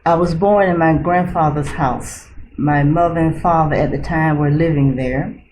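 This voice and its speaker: A woman in her seventies from Florida, recalling her early life in an oral history interview, slowly and thoughtfully, pausing to search for names. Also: low-pitched, fairly even intonation, background noise. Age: 70s. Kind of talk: reminiscing interview. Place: library room.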